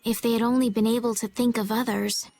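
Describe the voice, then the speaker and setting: A woman voicing a gentle, thoughtful young female healer in a video game, speaking softly, hesitantly and sincerely. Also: on the high side, little pitch movement, brisk, vocal fry. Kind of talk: video game dub acting.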